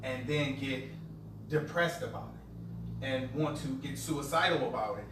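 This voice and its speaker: A man's voice: mid-range voice, measured pace, noisy background. Hesitant stammering man, echoey room. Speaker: panelist. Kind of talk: casual group discussion. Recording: echoey room, distant mic.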